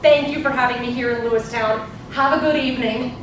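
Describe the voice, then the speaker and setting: Woman acting a suffragist politician, stage drama, poised, encouraging, very echoey, noisy rumbling background. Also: steady tone, fairly quick, close to an everyday voice. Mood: gracious. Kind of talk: live stage play acting. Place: large echoey room, live presentation. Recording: echoey noisy hall, distant mic, low rumble.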